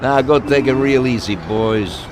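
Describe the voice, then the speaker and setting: Actor as a slow-talking genial mafia boss. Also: male voice, mid-range voice, lively intonation, background noise, natural voice. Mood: pleased. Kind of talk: video game voice acting.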